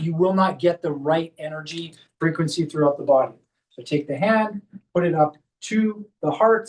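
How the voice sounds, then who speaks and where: A man's voice: high-pitched, recorded from a distance, low-quality recording. A man, a chiropractor, giving a hands-on demonstration workshop and explaining each step as he guides a volunteer. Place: clinic workshop room.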